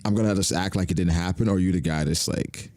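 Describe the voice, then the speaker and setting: A man's voice: sits low, fast, darkish tone, no room sound. A man co-hosting a casual anime podcast, chatting and laughing easily with his friend. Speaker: podcast co-host. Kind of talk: casual chat about anime.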